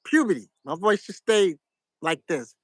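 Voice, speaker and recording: A middle-aged man from New York with a New York accent, giving casual, soft-spoken advice to his viewers in a fairly flat, monotone way. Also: high voice, moderate pace, breathy edge, no echo, clean studio sound. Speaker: vlogger.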